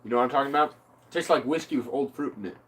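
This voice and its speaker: A man's voice: medium pitch, racing, slightly rough, recorded from a distance. A man in casual chat among friends, musing and describing flavors at length. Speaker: friend tasting drinks.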